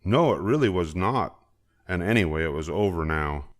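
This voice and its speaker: Older male storybook narrator, very low voice, expressive read-aloud for young children. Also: normal speed. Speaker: storybook reader.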